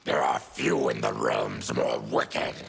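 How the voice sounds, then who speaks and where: An actor voicing a proud, embittered monster warrior in a video game, with a gravelly growl and a grave, formal, forceful manner. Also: male voice, extremely low pitch, steady tone, slow pace, heavily acted. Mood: grim. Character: fierce, embittered male monster warrior, proud former army tribune. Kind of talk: video game character dialogue.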